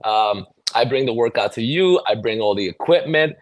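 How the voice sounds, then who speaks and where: A middle-aged man from New York City by way of El Salvador gives conversational interview answers. His medium-pitched voice is earnest and explanatory. The sound is close and dry, with no room around it. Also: expressive, moderate pace, no echo, low-quality recording. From New York City, born in El Salvador. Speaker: podcast guest, mobility fitness trainer.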